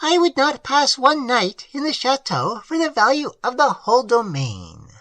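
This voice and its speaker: A man's voice: very high voice, huge pitch swings, normal speed, sharp tone, no echo, voice pushed up, exaggerated voice. A reader voicing an elderly, widowed, superstitious village man who speaks earnestly in old-fashioned phrasing. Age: older. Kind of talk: audiobook character reading.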